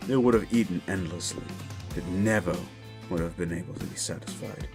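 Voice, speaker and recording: A man playing a gruff, wisecracking demon-born monster hunter in an action horror audio roleplay, talking in blunt tough-guy slang. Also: sits low, brisk. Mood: wry, relaxed. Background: music.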